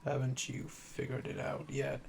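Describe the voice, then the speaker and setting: Casting: male actor as smug yandere assassin for roleplay audio, heavy vocal fry, slow seductive drawl, noisy ambience behind. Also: medium pitch, monotone, close to an everyday voice. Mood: smug, insistent. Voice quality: gravelly, fry-laden, often dropping to breathy murmur. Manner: slow, teasing, seductive, drawling pet names. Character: calm, flirtatious yandere assassin in custody, smug and menacing. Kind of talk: roleplay audio acting, intimate ASMR.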